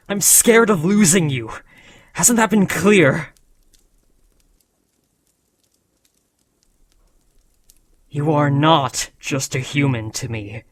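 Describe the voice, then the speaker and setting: A man's voice: mid-range voice, fairly bright tone. A man voicing an ancient, proud dragon who scolds a beloved rider in a solemn, formal, dramatic fantasy roleplay. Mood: pleading, scared.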